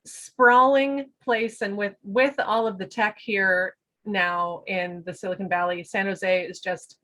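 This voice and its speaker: A woman's voice: medium pitch, fairly even intonation, unhurried, bright, forceful tone. Chatty woman on a video call. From United States. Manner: chatty, laughs often, many fillers. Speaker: guest, business owner. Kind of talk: casual interview talk.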